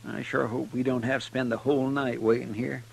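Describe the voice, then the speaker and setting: A man's voice: mid-range voice, level tone, a little fast, vocal fry, lightly acted. A man playing an earnest, folksy deputy sidekick in a 1950s radio western, hesitant and deferential. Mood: musing. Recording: faintly noisy old radio recording.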